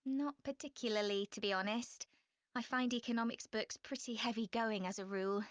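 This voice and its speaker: A woman acting as a young female student talks through a class project in a scripted exam-listening dialogue. Her voice sits a bit high and is recorded very dry. She is measured, chatty and politely frank. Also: steady tone, dry recording, natural voice. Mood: frank, cooperative.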